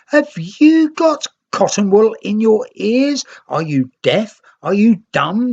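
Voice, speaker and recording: A stubborn, hostile storybook creature as acted by a narrator scolds in a raised, emphatic voice. Every phrase is indignant and pushed out at a high pitch. The sound is slightly dull. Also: vocal fry, husky, exaggerated voice.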